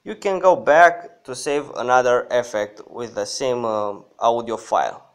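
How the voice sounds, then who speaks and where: Slow-talking man walking through an app. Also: medium pitch, smooth. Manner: halting, hesitant. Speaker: app review host. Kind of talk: casual screen-recorded app tutorial.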